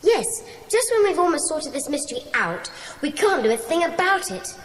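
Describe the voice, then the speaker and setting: Shrill, excitable English schoolboy. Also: female voice, moderate pace. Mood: eager, concerned. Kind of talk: audio drama acting. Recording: echoey, reverberant, noisy recording.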